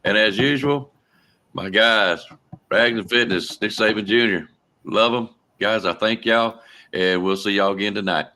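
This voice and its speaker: A man hosting a casual sports talk show, relaxed and friendly, rambling a little as he wraps up. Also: fairly low pitch, a little slow. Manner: relaxed, friendly, laughs easily, rambling sign-off. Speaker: show host.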